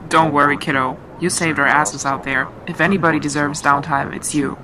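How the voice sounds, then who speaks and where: A man's voice: fairly high pitch, fairly even intonation, brisk, crowd noise, natural voice, low-quality recording. A man playing a brash, loyal teenage superhero in an audio drama, talking in casual slang. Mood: cheering, supportive. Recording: clear background noise, clicks.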